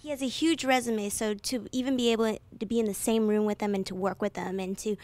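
A woman's voice: medium pitch, steady tone, fast, darkish tone. A young woman interviewing a dancer, upbeat and encouraging, speaking into a handheld mic in an indoor lounge. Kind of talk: entertainment interview. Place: indoor lounge at a rehearsal studio.

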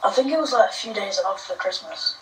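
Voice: mid-range voice, fast, a bit bright, a little hiss or hum. A player chatting casually during a game, teasing and arguing with friends. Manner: teasing, argumentative. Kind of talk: casual gaming chat. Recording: echoey room, far from mic.

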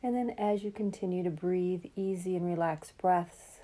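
Low, mellow woman guiding meditation. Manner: calm, soothing, unhurried with long pauses. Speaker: meditation guide. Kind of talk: guided relaxation meditation.

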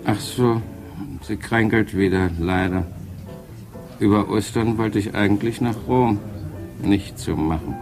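An actor playing a resigned, grumbling married gentleman in a 1950s German radio play, talking in clipped phrases. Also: on the low side, a little slow, long pauses, music in the background. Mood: resigned, regretful.

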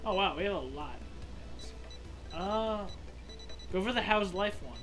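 A man's voice: high voice, expressive, measured pace, noisy background. A man giving chatty, joking commentary on a video game in a casual style. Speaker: gaming co-commentator. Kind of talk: casual let's play commentary. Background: video game music.

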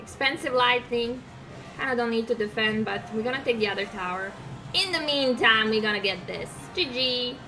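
A woman's voice: on the high side, normal speed, pushed and bright, soft background music, rough recording. A young woman from Croatia with a Croatian accent, giving upbeat, chatty commentary on her own mobile gameplay from a desk at home. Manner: upbeat, chatty, hums along while playing. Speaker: gaming streamer.

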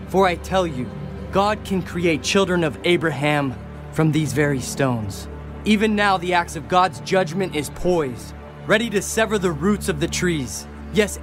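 A man playing a stern, urgent wilderness prophet, exhorting in a dramatized audio Bible. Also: high voice. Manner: denouncing, exhortative.

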